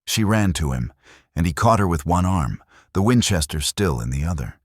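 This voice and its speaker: A man reading a scripted dramatic Western story in a measured, solemn manner. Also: deep voice, expressive, dry recording, studio quality. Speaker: narrator.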